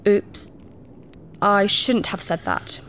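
An actress playing a proud, secretive alien princess in disguise, formal and precise, in a sci-fi audio drama. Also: female voice, mid-range voice, huge pitch swings, slow pace, a bit bright, slight background noise, heavily acted. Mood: affronted. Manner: formal, precise, earnest, easily affronted.

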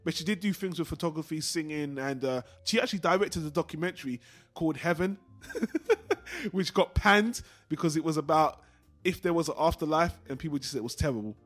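A high-pitched male podcast host talks quickly and casually about films, with music underneath. He rambles and digresses freely, sharing his opinions as he goes. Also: animated. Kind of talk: casual film discussion podcast.

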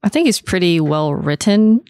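A woman's voice: fairly low pitch, sing-song, moderate pace, a little mellow, no room sound, studio quality. A woman co-hosting a podcast, chatting casually in an informal, slangy way. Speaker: podcast co-host. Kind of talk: casual chat.

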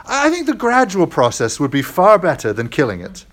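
A man's voice: huge pitch swings, moderate pace, fairly bright tone. A man voicing a frightened male ghost who pleads and whines in a strained, rising voice. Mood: evasive. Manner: whining, high and strained when panicked.

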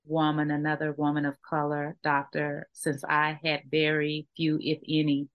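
Low-voiced, enthusiastic woman podcast host. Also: monotone, normal speed, lo-fi sound. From Texas. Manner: enthusiastic, chatty, frequent fillers. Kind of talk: casual interview chat.

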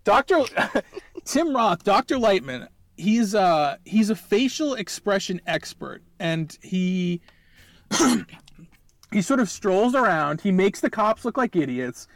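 A man's voice: high-pitched, big pitch swings, slow, no room sound. A man co-hosting a casual podcast, joking and teasing, laughing often as he talks. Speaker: podcast co-host. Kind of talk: casual chat.

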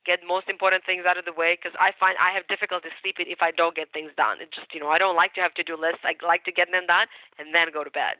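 A woman's voice: on the low side, fairly even intonation, fast, hardly any pauses, no room sound. A woman with a Ukrainian accent, a mortgage broker chatting as a guest in an interview, upbeat and talkative, repeating words for emphasis. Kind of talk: casual podcast interview. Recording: tinny phone line, dry.

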